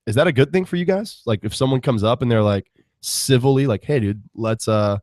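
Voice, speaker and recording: A man's voice: mid-range voice, a little fast, a little mellow, dry recording, clean studio sound. A man co-hosting a casual podcast chat, chatty and asking probing questions.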